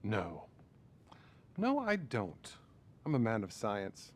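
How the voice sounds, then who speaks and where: A man's voice: on the low side, wildly swooping pitch, slight background noise, heavily acted. Condescending, detached male psychiatrist. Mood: detached, formal. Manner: measured, formal, condescending. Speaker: therapist. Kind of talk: acted video game cutscene dialogue.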